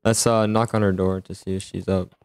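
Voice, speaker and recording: A man's voice: deep voice, level tone, a little fast, darkish tone, smooth, no echo. A man chatting casually in an improvised game roleplay, often stammering and repeating his words. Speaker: host of a roleplay gaming video. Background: music.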